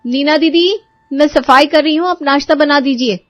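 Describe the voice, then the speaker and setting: A woman voicing a bossy, sharp-tongued young bride in a cartoon story, commanding and sarcastic. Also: high-pitched, normal speed, no room sound, highs cut off, voice pushed up, natural voice. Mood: firm.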